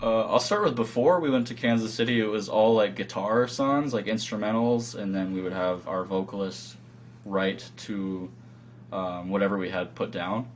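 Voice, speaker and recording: A man's voice: fairly low pitch, normal speed, slight background noise. A man talking casually as a band musician being interviewed.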